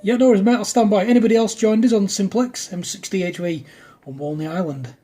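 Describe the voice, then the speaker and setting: A man's voice: high-pitched, fairly even intonation, fast talker, dark tone. A man, an amateur radio operator, talking in a casual chatty style to a fellow operator.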